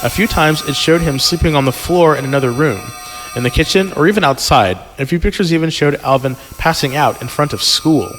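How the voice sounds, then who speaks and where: A man hosting a podcast, reading a story aloud haltingly with casual asides and chuckles. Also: a little high, animated, a bit bright. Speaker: podcast host and reader. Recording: music playing behind the voice. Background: prominent background music.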